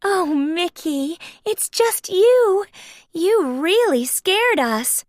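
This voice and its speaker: Woman as a very high, sweet girl mouse. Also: expressive, extremely slow, no echo, studio quality, full character voice. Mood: relieved. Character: sweet, startled female cartoon mouse, gentle and girlish.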